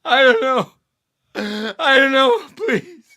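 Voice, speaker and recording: Panicky game thug, really high strained gravelly voice, swinging all over, frantic. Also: male voice, sing-song, takes it slowly, sharp tone, heavily acted. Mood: terrified pleading. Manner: frantic, pleading, blurting. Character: panicky, defiant, foul-mouthed male criminal thug. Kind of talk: video game voice acting.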